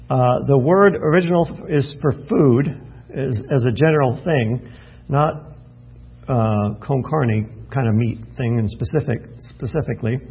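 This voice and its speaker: A man's voice: on the high side, vocal fry, breathy edge, lo-fi sound. A man preaching at an evening Bible study in a folksy, self-deprecating way, thinking aloud and restarting his phrases. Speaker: pastor.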